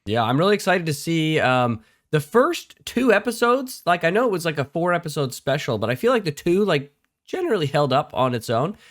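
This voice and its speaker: A man co-hosting a casual show of reactions to anime, his voice raspy and hoarse as he recovers from losing it, chatty and full of likes and ums. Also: a little high, very animated, a little fast, fairly bright tone. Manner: chatty, says like and um often. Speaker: co-host.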